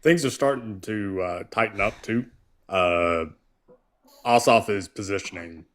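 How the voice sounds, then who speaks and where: A man's voice: fairly low pitch, unhurried. A man co-hosting a political live stream, chiming in with brief, matter-of-fact remarks. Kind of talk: casual live-stream talk.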